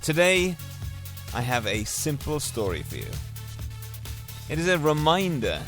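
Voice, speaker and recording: A man's voice: fairly high pitch, sing-song, a little slow, a bit bright, soft background music. A man hosting his own podcast, telling a story and encouraging listeners in an earnest, motivational monologue. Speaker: podcast host.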